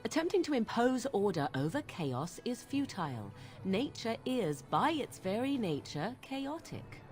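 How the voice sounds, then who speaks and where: A woman's voice: a little high, very animated, a little slow, darkish tone, faint music behind. An actress playing an aloof, sardonic young witch who speaks coolly and precisely. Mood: scornful. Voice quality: cool, disdainful, precise.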